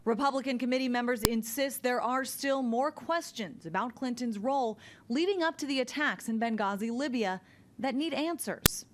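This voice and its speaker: Woman tv reporter doing a live report, slightly high voice, traffic noise rumbling behind. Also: moderate pace. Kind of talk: field news report.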